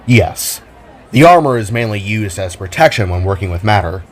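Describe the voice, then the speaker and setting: Man voicing a proper, level-headed alien warrior, lowish voice, lively intonation. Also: moderate pace. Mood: explaining. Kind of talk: audio drama reading.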